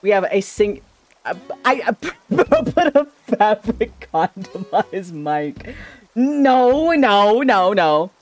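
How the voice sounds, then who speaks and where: A man chatting casually on a livestream with a slightly shrill, sharp-sounding voice, rambling playfully and tripping over his words. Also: big pitch swings, takes it slowly, soft background music. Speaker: streamer. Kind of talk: casual livestream chat with viewers. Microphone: desk microphone.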